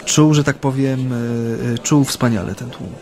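Actor as a halting male former activist, reminiscing. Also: mid-range voice, a little mellow, a little hiss or hum, close to an everyday voice. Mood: reflective, wry. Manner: hesitant, halting, frequent drawn-out hums. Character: male former Solidarity activist recounting his memories. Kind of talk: radio play acting, interview-like recollection.

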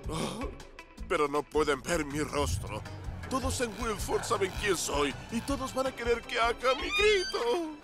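A man's voice: sits high, big pitch swings, measured pace, full character voice. A man playing a famous stunt motorbike hero in a children's cartoon, boastful yet bashful, with a theatrical, stammering delivery. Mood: embarrassed, dejected. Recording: music playing behind the voice.

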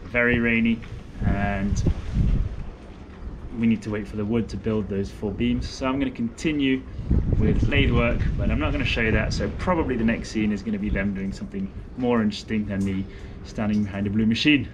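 A young man casually narrating his boat work to a camera held at arm's length, in a relaxed, explanatory way. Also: lowish voice, brisk. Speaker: sailing vlogger. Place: outdoors in a rainy boatyard. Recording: loud outdoor noise.

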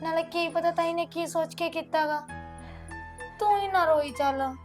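Young woman as a gentle, pleading cousin, very high-pitched, quiet music under. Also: measured pace. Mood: consoling. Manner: soft, pleading, sympathetic.

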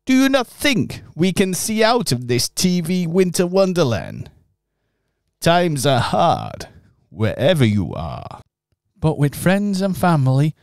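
A man performing in a local radio pantomime, earnest and expressive. His voice sits a little high and leaps around in pitch, and he speaks slowly and deliberately. The sound is dry and close, with no room around it. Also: no echo. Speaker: pantomime narrator and actor.

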